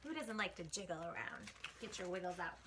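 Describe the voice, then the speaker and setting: A woman hosting children's storytime, reading a rhyming picture book aloud in an animated, expressive way. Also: on the low side, fairly quick, very noisy. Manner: animated, exclaiming, dramatic hushes.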